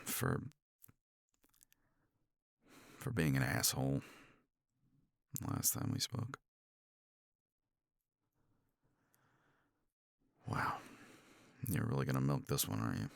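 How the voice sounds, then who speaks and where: A blunt, proud college professor, acted by a man for a romance ASMR roleplay, with a very deep, creaky voice. He talks slowly and articulately with a sardonic, halting edge, recorded close and dry. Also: very animated, no echo, full character voice. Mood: awkward, contrite. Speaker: voice actor in audio roleplay.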